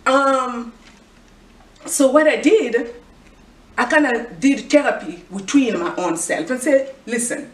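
Earnest high-voiced Congolese woman vlogger. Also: slow pace, pauses often. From Congo, Central Africa. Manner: earnest, preachy, repeats "guess what". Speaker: fashion vlogger and clothing designer. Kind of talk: motivational talk to camera. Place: indoor room with clothing rack. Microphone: far camera. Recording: echoey room from far off.

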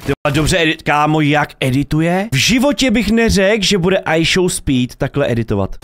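Young male streamer, native Czech, high animated delivery, slangy and excitable reaction commentary. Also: a little fast, slight background noise. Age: young adult. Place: home streaming room, indoors. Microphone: desk microphone close up.

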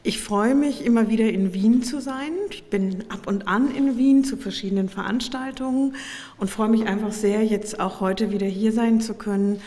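A middle-aged woman, slightly hoarse from a cold, gives a measured, formal academic lecture, explaining as she goes. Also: medium pitch, dark tone. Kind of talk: public lecture. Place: indoor lecture room at a podium. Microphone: podium microphones. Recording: reverberant lecture hall.

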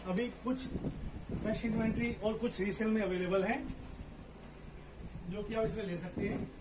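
A man giving a slow, explanatory walkthrough tour of an unfinished commercial building, pointing out each floor. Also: sits high, fairly even intonation. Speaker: property dealer giving a site tour. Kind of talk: walkthrough property tour narration. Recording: echoey, roomy building with ambient noise.

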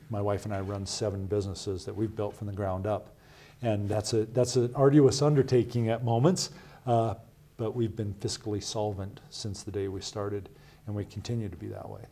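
A man's voice: lowish voice, warm tone, slight background noise. A man in his fifties from Wyoming, a Senate candidate answering interview questions in a small TV studio, earnest and emphatic, often tagging "right?" onto his points. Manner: earnest, measured, emphatic with rhetorical "right?" asides. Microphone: lapel.

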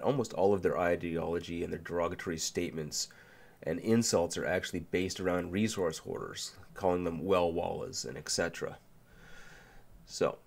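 A man's voice: low voice, gravelly. A man hosting a casual explainer video, sounding nasal from a sinus flu and talking loosely with fillers and restarts. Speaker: video essay host.

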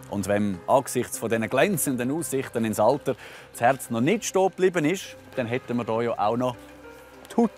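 A man's voice: medium pitch, a little mellow. A man in his thirties hosting a TV science report outdoors, playful and self-mocking. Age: 30s. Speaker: science show host. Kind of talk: presenting a TV science report to camera. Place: outdoors on a university campus.

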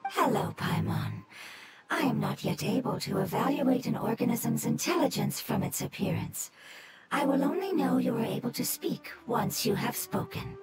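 A woman voicing a polite, scholarly talking mushroom creature in a video game, breathy and whispery, with formal, literal, precise phrasing. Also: fairly high pitch, expressive, moderate pace, very noisy, close to an everyday voice. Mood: polite surprise. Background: loud music.

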